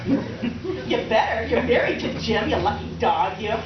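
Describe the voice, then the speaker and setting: A woman playing an over-excited middle-aged former sweetheart in a readers theater comedy, effusive, chattering and self-mocking. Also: a little high, a little slow. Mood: gushing, joking. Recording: roomy, distant, dull, faintly noisy stage recording.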